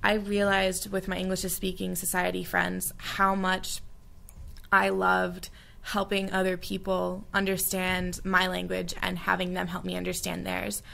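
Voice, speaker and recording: Earnest young American woman with vocal fry. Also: on the low side, completely flat delivery, unhurried, a bit bright. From United States. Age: young adult. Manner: earnest and heartfelt, with frequent fillers. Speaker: study abroad alumna. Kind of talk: reflective interview answers.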